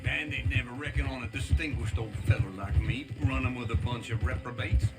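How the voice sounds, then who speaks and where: An actor playing a boastful, idle old outlaw in a video game, folksy and rambling with an American Southern accent. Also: male voice, mid-range voice, steady tone, brisk, natural voice. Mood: jokingly boastful. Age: older. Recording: loud music behind dialogue. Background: music, horse hooves.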